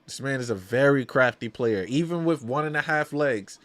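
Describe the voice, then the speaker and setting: A man's voice: medium pitch, moderate pace, fairly bright tone. A man hosting a watch-along, calling basketball live as a partisan fan in slangy talk, often repeating phrases for emphasis.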